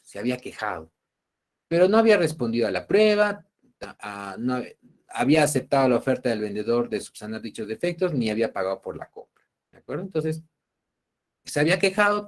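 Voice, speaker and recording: A man's voice: mid-range voice, darkish tone. A man with a Peruvian Spanish accent lecturing an online university class, explaining cases step by step and often checking that students follow. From Peru. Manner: didactic, checking understanding with tag questions.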